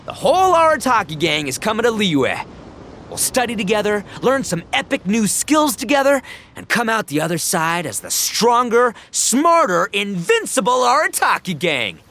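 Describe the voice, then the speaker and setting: An actor playing a brash, goofy, overconfident oni gang boss in a video game, with slangy, theatrical bragging. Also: male voice, sits high, big pitch swings, normal speed, fairly bright tone, slight background noise. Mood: boastful. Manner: slangy, bragging, theatrical, stammers when flustered. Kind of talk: animated video game voice acting.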